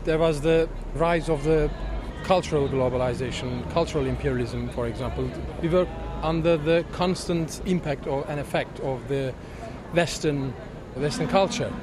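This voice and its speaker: A man's voice: fairly high pitch, moderate pace. A man from Turkey at an outdoor protest speaking carefully and searching for words. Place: outdoors at a city protest camp. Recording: crowd noise behind.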